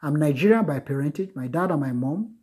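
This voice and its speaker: A man giving an informal lecture, earnest and repetitive, with many filler pauses. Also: a little high, lively intonation, a little mellow, husky. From United States. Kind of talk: informal recorded lecture on Buddhism.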